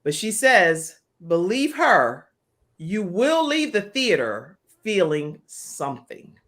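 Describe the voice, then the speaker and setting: A woman's voice: medium pitch, big pitch swings, slow pace, a bit bright. An American woman hosting a live online talk show in an enthusiastic, welcoming manner.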